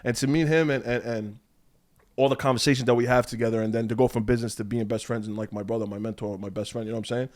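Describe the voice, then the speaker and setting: Fast-talking young man, emphatic. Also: medium pitch, steady tone, a little mellow. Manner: fast, emphatic, heartfelt, swears freely. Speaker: podcast guest, clothing brand owner. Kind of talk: casual chat.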